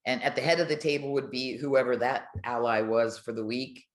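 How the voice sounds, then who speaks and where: Low-voiced older woman, roomy sound. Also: steady tone, a little fast. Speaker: retired air traffic controller, podcast guest. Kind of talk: casual storytelling in an interview.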